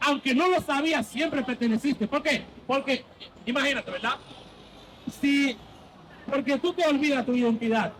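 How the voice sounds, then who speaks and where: A man's voice: extremely high pitch, moderate pace, fairly bright tone, a little hiss or hum, rough recording. A man leading a street Bible debate as a preacher, insistently quizzing and cutting in with questions.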